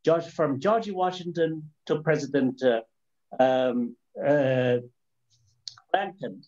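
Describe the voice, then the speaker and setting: A man from China with a Chinese accent speaks off the cuff as a webinar panelist, arguing policy in a halting, explanatory way with rhetorical questions. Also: a little high, darkish tone, highs cut off. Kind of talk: extemporaneous argument in a policy discussion.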